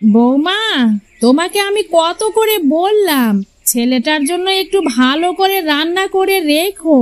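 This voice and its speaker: Lady doing super high naggy old granny, cartoon mother in law telling people off. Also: lively intonation, a little slow. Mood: scolding. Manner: nagging, reproachful. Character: scolding elderly mother-in-law and grandmother. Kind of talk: Bengali cartoon fairy-tale voice acting.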